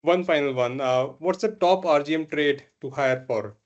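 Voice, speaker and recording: Higher-voiced male panel moderator, muffled audio. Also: moderate pace. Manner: friendly, laughs between questions. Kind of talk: hosting a panel discussion.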